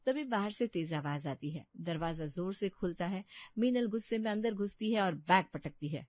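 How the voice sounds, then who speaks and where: A woman's voice: medium pitch, animated, normal speed, dry recording, highs cut off. A woman narrating a scripted story as a storyteller.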